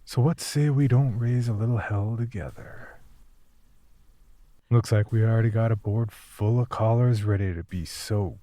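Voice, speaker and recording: An actor playing a flirtatious late-night radio DJ, smooth-talking and seductive, with teasing, breathy half-whispers. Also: male voice, on the low side, dark tone, no echo.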